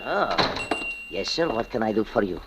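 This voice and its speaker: A man's voice: lowish voice, sing-song, moderate pace. An actor playing an obliging male shop clerk who mutters and repeats himself, in 1940s radio style. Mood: obliging, distracted. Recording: noisy, crackly old radio recording.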